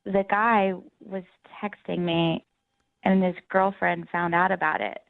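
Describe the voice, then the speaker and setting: A woman's voice: lowish voice, level tone, unhurried, gravelly. A young woman talking casually and explaining herself as a guest on a radio phone-in. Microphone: phone call. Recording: thin, band-limited phone line.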